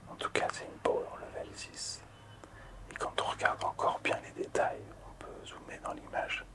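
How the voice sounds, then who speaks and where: A man hosting casual ASMR in a breathy, unvoiced whisper, hushed, hesitant and appreciative. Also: moderate pace. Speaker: ASMR video host.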